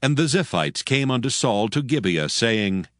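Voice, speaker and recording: A man narrating a dramatized audio Bible in a solemn, measured storytelling style. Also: mid-range voice, sing-song, a bit bright, no room sound, clean studio sound.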